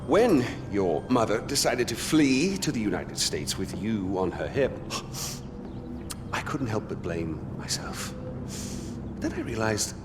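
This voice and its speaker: Flamboyant, slow-talking game villain, swooping pitch. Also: male voice, sing-song, over music. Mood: wistful, reflective. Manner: pedantic, mock-courteous, theatrical, suddenly profane. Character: flamboyant, volatile, genteel middle-aged male tyrant. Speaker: actor playing a video game villain. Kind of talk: theatrical game cutscene monologue.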